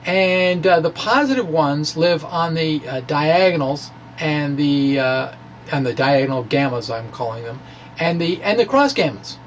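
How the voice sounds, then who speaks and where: Man, slightly high voice, informal explainer, halting thinking-aloud delivery, faint noise behind. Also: lively intonation. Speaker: amateur scientist presenting his blog. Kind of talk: informal explanatory reading of a science blog.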